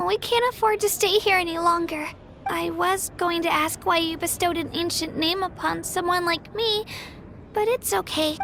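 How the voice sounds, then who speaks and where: A woman voicing a small, earnest and determined young girl hero in a video game, with an excitable manner. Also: extremely high pitch, a little hiss or hum. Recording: game music behind the voice.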